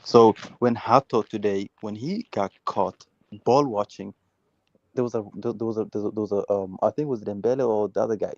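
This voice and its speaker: A man with a fairly low voice making his case in a heated live football chat. He restarts and repeats words as he pushes his point. The sound is dry and slightly dull, like a remote call. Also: a little fast, darkish tone, no echo. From Uganda. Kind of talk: heated casual football debate.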